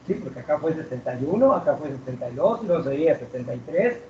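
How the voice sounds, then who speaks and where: A man teaching math to a class, asking questions and drawing out key words slowly. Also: fairly high pitch, big pitch swings, slight background noise. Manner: asks students questions, drags out key words. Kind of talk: classroom lecture. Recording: roomy, distant, dull classroom recording.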